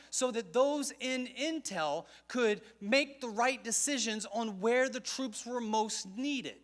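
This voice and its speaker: Middle-aged male conference speaker, high voice, conversational and wry, speaking to a church crowd. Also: a bit bright. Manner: conversational and joking, with asides. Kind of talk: conference talk to a church audience.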